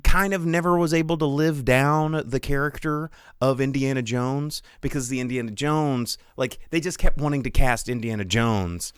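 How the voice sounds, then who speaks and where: A man's voice: mid-range voice, moderate pace, hardly any pauses, clean tone, no room sound, studio quality. A man hosting a podcast, talking casually in a rambling, emphatic, exasperated rant. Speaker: podcast host.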